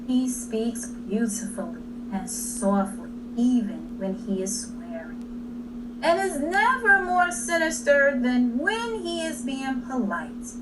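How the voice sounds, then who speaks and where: A distant, noisy recording carries a woman's voice reading a storybook aloud. She takes her time over each phrase. Her delivery is expressive and deliberate, with emphasis on key words for young listeners. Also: sits high. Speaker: storybook reader. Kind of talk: expressive children's read-aloud narration. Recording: distant mic with clear background noise.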